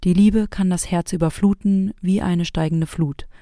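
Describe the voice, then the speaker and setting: Female narrator-host, lower register, soft mellow tone, close dry recording. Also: fairly even intonation, normal speed, no room sound. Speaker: host and maker of a private Christmas audio piece. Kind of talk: scripted narration.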